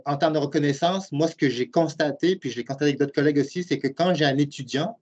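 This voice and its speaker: A man's voice: a little high, darkish tone. A man in his late forties with a Québécois accent, a program director earnestly explaining his views while answering webinar questions. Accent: Québécois French. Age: late 40s. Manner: earnest, explanatory, thinks aloud. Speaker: university program director and professor. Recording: muffled lo-fi web call audio.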